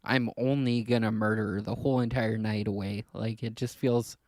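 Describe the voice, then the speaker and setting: A man chatting casually as a podcast co-host, halting and rambling, often repeating words as he works toward his point. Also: on the low side, fairly even intonation, a little mellow.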